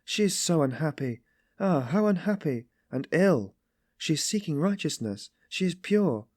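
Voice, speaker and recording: A man's voice: medium pitch, moderate pace, a little mellow, dry recording, clean studio sound, voice pushed up, lightly acted. A man voicing an anguished, meek but passionately compassionate young woman, pleading in breathless exclamations and repetitions for an audiobook story. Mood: impassioned pleading.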